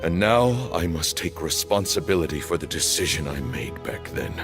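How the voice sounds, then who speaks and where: A man playing a stern, bitter temple leader in a video game, speaking in a formal, grave, measured way. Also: low-pitched, big pitch swings, gravelly, heavily acted. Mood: commanding, resolute. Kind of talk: dramatic video game voice acting. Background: background music.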